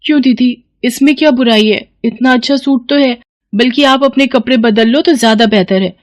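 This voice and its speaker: A woman's voice: a little high, normal speed, dry recording, clean studio sound, lightly acted. A woman voicing a modest, sensible young woman who speaks politely. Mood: mildly reproving.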